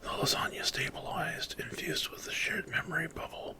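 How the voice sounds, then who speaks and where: A man narrating a dramatic, intense fantasy horror story entirely in ASMR whispers. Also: moderate pace.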